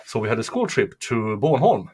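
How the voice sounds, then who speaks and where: A man from Sweden, chatting casually on a stream in an unhurried, thoughtful way with many fillers. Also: mid-range voice, big pitch swings, a little mellow, slightly rough.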